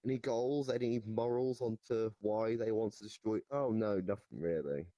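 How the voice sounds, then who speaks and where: Man playing a mellow, rambling, deranged goblin storyteller. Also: lowish voice, dry recording, muffled recording, close to an everyday voice. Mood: nonchalant, boastful. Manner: rambling, halting, nonchalant about absurd claims. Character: RoboGoblin, rambling deranged goblin storyteller boasting of absurd evil deeds. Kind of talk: improvised comic storytelling in an interview. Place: virtual reality voice chat.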